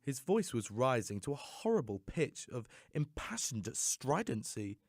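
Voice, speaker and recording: Male audiobook narrator: mid-pitched, lively and theatrical, recorded dry. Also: a little slow, no room sound. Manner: theatrical, expressive storytelling. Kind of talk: dramatic scripted audiobook narration.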